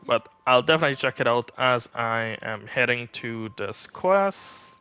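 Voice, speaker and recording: A man's voice: medium pitch, expressive, unhurried, bright, forceful tone, clear, resonant tone. A man from Canada chatting casually as he hosts a game livestream. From northern Canada. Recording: faint game music behind.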